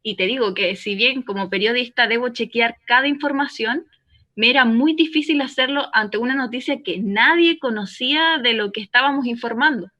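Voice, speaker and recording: An earnest, defensive woman journalist in a radio drama, speaking by remote link. Her voice is fairly high and sharp, argued firmly through slightly muffled sound. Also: moderate pace. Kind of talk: radio play as live call-in interview. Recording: dull, band-limited remote link.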